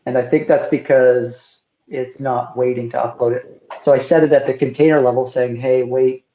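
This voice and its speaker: A man with a soft, mellow voice talks shop on a casual work call. He pieces technical explanations together haltingly, thinking out loud. The sound is roomy and somewhat muffled, like lo-fi call audio. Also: mid-range voice, steady tone. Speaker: software engineer on a team call.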